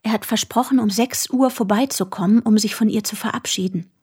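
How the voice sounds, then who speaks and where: A woman performing as a detached narrator of a young woman's story in a radio drama, measured and matter-of-fact. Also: mid-range voice, a little mellow, husky. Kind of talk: literary radio drama narration, third person.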